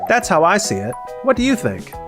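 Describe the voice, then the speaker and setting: A man playing a calm, capable young factory worker in a scripted manga dub, composed and matter-of-fact. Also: high voice, a little fast, over music.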